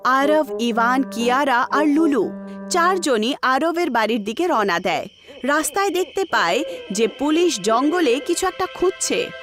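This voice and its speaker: A woman's voice: high-pitched, lively intonation, measured pace, over music. A woman narrator reading a scripted children's story.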